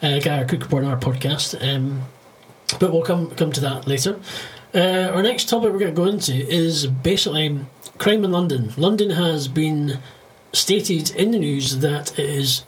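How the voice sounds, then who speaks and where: A man's voice: a little high, a little slow. Scottish podcast host, noisy man's recording. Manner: rambling, circling back mid-sentence. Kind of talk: casual interview chat. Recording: clearly noisy, ambient background noise.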